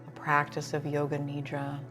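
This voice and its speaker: A woman leading a guided relaxation as a yoga instructor, soothing and unhurried, with pauses between phrases. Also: deep voice, completely flat delivery, over music.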